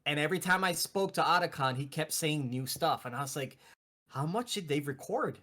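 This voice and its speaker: A man's voice: fairly high pitch, animated, fairly quick, sharp tone. A man co-hosting a gaming show, bantering casually about video games.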